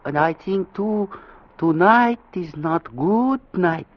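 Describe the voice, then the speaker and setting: High, slow, superstitious ship's steward. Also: male voice, animated. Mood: foreboding. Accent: put-on foreign. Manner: halting, deferential, clipped broken phrases. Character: soft-mannered, superstitious male ship's steward, politely ominous. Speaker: actor in a radio drama. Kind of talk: 1940s radio acting, broken English. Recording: dull vintage radio recording.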